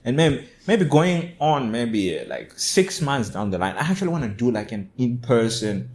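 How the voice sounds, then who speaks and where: A young man from Zimbabwe hosting a podcast, talking in a relaxed, earnest way and saying "bro" and "man" all the time. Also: medium pitch, moderate pace. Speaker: podcast host. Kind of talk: casual podcast interview.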